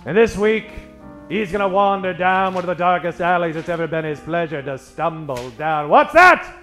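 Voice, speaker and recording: A man's voice: sits high, animated, fairly bright tone. A man narrating a tongue-in-cheek 1940s-style radio detective show, melodramatic, drawing out his punchlines. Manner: theatrical, melodramatic, drawn-out punchlines. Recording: music playing behind the voice.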